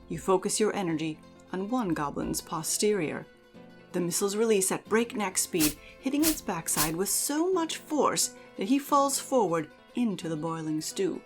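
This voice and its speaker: A woman giving a measured, theatrical reading as narrator of a fantasy audio drama. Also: fairly low pitch. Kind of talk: dramatic second-person fantasy story narration.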